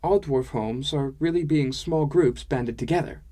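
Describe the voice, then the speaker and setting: Male narrator as dwarf woman, raised pitch, kindly, frank, amused, quaint foreign phrasing, fantasy audiobook. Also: unhurried, warm tone, lightly acted. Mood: explaining, reflective. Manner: quaint foreign-style phrasing with continuous verbs. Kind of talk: audiobook character dialogue.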